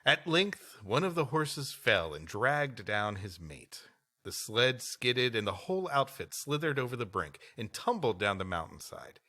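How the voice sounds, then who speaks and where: Guy w a bright punchy voice, lively up and down, reading history on a comedy podcast n riffing. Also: mid-range voice, a little slow. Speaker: podcast co-host. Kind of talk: reading a history aloud with comic banter.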